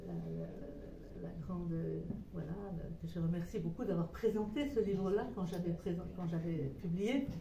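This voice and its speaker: A woman speaks as the guest at a book talk, telling her story in a rambling, hesitant way with many restarts. Her voice is deeply mellow and dark. It is recorded from a distance in a roomy space with a little background hum. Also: normal speed, creaky, hardly any pauses. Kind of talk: informal book talk to a small audience. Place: meeting room with a live audience. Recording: roomy echo, voice far from mic.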